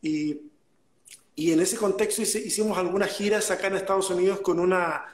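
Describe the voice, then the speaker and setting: A man's voice: high voice, steady tone, fairly quick, roomy sound. A man with a Chilean accent, a guest on a video call interview, telling his story in a reflective way. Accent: Chilean Spanish. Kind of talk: casual interview chat.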